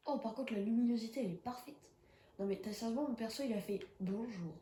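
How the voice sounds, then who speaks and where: A woman's voice: fairly high pitch, fast, dark tone, roomy sound, slight background noise. A young woman with a cold, chatting casually and reacting with jumpy exclamations as she plays a horror game. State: has a cold. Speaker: gaming streamer. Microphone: phone.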